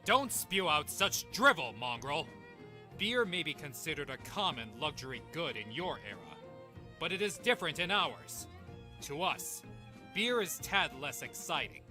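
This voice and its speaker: A man's voice: fairly high pitch, big pitch swings, unhurried, sharp tone, faint music behind, heavily acted. A man acting a haughty, imperious ancient king in a comic dub, pompous and condescending in his delivery. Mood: indignant.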